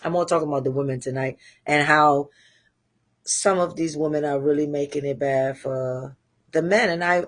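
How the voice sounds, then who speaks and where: Trinidadian woman hosting a candid chat. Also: low voice. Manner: candid, rambling, frequent pauses to regroup. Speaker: host. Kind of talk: casual livestream monologue.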